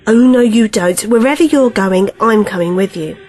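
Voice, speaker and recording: A woman's voice: mid-range voice, normal speed, darkish tone, soft background music, lightly acted. A woman playing a loyal, determined, exasperated companion to an adventurer in an audio drama. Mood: urgent, exasperated.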